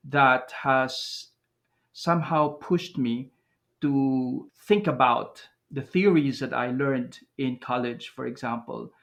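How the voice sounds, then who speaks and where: A man's voice: medium pitch, a bit bright. A man from the Philippines whose first language is Aklanon, speaking as a guest researcher in a podcast interview, thoughtful, with many fillers and restarts.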